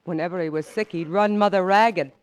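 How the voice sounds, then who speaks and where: A woman's voice: fairly low pitch, a little fast, natural voice. A woman playing a chatty young wife who talks easily and sings a lilting novelty song, in 1940s radio style. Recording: old radio recording with music.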